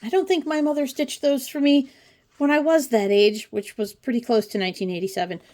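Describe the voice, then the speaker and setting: A woman's voice: high-pitched, fairly even intonation, fairly quick, a little mellow. Chatty woman with wry asides. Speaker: craft channel host. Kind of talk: casual commentary on a pattern book flip-through.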